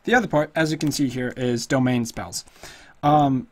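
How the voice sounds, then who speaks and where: A man with a slightly hoarse, middle-pitched voice talks through a tabletop character build at his own loose pace. He rambles and thinks aloud, full of ums and restarts. Keys clatter now and then behind him. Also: moderate pace. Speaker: tutorial host. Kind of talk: casual screen-recorded game tutorial.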